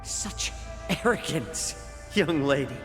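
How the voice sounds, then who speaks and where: An actor playing a weary, sardonic, quietly defiant male healer in a video game, with measured, pointed, theatrical delivery. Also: a little high, lively intonation. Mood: scornful. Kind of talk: dramatic video game voice acting. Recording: reverberant, with game music behind.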